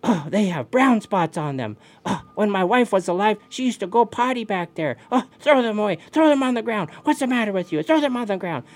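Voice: mid-range voice, lively intonation, fairly quick, hardly any pauses, pitched above the performer's own voice, natural voice. A storyteller voicing a hungry, scheming raven trickster who calls out, coaxes and exclaims in a folk tale. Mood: scolding.

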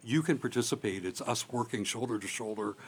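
A man's voice: medium pitch, fairly quick, slight background noise. A middle-aged man speaking as a candidate at a local election forum, earnest and emphatic, with restarts and fillers. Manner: earnest, emphatic with loud pushes.

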